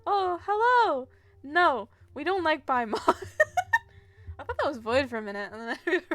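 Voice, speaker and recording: High-voiced, giggly woman streamer. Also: a bit bright. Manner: giggly, rambling. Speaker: game live streamer. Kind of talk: casual live stream commentary and chat.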